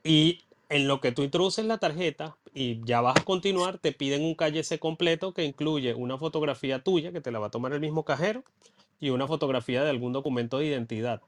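A man hosting a relaxed podcast conversation, chatty and wandering off on side topics. His voice sits a bit higher than usual for a man. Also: fairly quick, slight background noise. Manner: chatty, digressive, laughs easily. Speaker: podcast host. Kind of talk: casual podcast chat.

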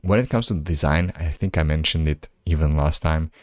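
Low-voiced man, Slovak native speaker. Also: normal speed, darkish tone, no room sound, studio quality. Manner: casual, musing asides between read passages. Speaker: podcast host. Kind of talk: reading articles aloud with commentary.

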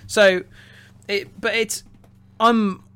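Bright, halting male podcast co-host. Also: high-pitched, level tone, smooth. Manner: halting, repeats words as he thinks aloud. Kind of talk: casual film review discussion.

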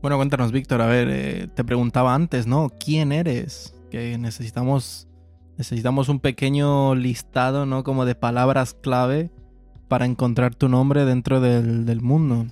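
A man's voice: mid-range voice, fairly even intonation, a little slow, darkish tone, soft background music. A man hosting a podcast, chatty and joking as he asks his guest questions. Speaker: podcast host. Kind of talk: casual interview chat.

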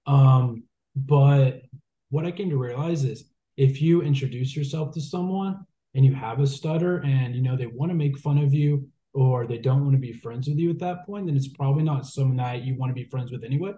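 A man's voice: medium pitch, normal speed, warm tone. A man in his twenties, a guest who stutters, talking thoughtfully with repeated words and fillers. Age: 20s. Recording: roomy echo.

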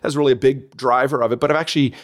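Man, canadian accent, middle-aged, rapid talker, mid-pitched, chatty interview guest. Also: steady tone. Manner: upbeat, chatty, lots of fillers and restarts. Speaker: podcast guest, church consultant. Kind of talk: casual interview chat.

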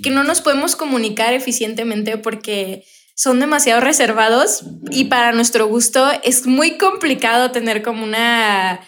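A woman's voice: a little high, a bit bright. A woman who co-hosts a casual podcast, bubbly and often laughing. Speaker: podcast co-host.

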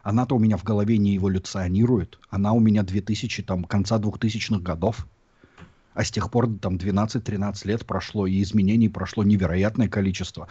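A man's voice: lowish voice, steady tone, quick pace, dark tone, breathy edge. A Russian-born man who lives abroad and hosts a YouTube channel, reflecting and explaining at length in a casual chat. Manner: reflective, explanatory, many fillers. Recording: dull lo-fi sound.

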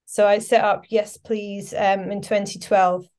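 A Scottish woman in her forties talks in a relaxed interview, her voice sitting at an ordinary middle pitch. She tells her story in a chatty, rambling way, full of fillers and restarts. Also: unhurried. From Scotland. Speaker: podcast guest. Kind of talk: casual interview chat.

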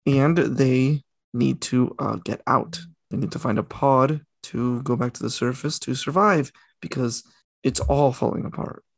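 A man's voice: mid-range voice, a little slow, creaky, dry recording. A young man co-hosting a casual podcast, rambling and correcting himself as he talks. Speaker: podcast co-host. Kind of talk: casual chat.

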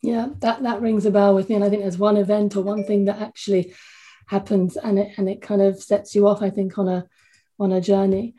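Mellow-voiced woman hosting a podcast. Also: mid-range voice, little pitch movement, a little fast. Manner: reads a scripted guest introduction. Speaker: podcast host.

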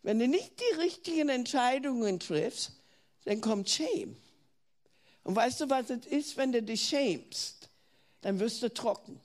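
Older German woman preacher, earnest and questioning, high-pitched, unhurried delivery. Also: expressive, a little mellow. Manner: earnest, asks the listener questions, restarts words. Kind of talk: sermon.

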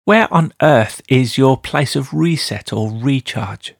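A man asks short, curious questions. His voice has a gravelly, fry-laden texture. The sound is dry, with no room echo around it. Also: mid-range voice, expressive, takes it slowly. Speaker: interviewer.

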